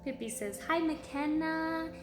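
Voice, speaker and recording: A woman's voice: high voice, slow, roomy sound, over music. A woman preschool teacher reading aloud to young children, encouraging them with simple repeated phrases and questions.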